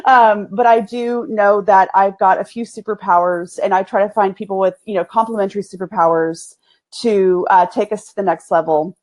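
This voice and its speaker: A woman in her forties with an East Texas accent, a guest talking candidly and folksily in a casual interview, quick to laugh. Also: medium pitch, steady tone, darkish tone. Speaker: agency owner, guest.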